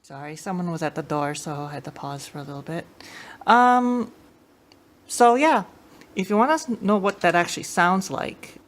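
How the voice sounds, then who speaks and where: A woman's voice: fairly low pitch, normal speed. A woman giving a casual how-to demonstration as a host, explaining informally and a little hesitantly. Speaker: host of a tape deck tech channel. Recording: clear background noise, room ambience.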